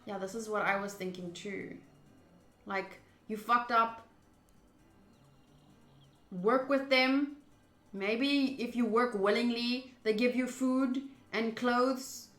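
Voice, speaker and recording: A woman reads a visual novel aloud on stream and comments casually as she goes. Her voice is bright and expressive, moving between measured narration and loud reactions. The room adds a clear echo. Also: on the high side, faint music behind. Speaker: game streamer.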